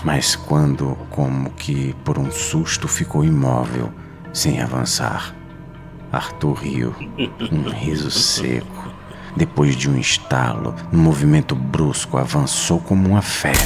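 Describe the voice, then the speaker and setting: A Brazilian man with an extremely low voice tells a horror tale at an unhurried pace. His delivery is suspenseful and dramatic, with weighted pauses, and music plays behind him. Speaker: horror story narrator. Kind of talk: dramatic scripted horror narration. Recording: narration over clear background music.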